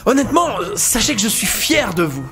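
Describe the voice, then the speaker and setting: An actor playing a scheming start-up boss in an audio comedy, pompous and unctuous, flattering rebellious staff with theatrical corporate talk. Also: mid-range voice, a little fast, over music, natural voice. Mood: grandly flattering. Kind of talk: French amateur audio comedy acting.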